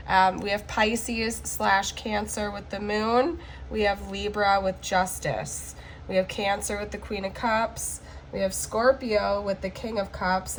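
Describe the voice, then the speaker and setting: A woman recovering from the flu, with a hoarse, cracking voice, giving a chatty tarot card reading to camera and checking in often with "okay?". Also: mid-range voice, slight background noise, poor audio.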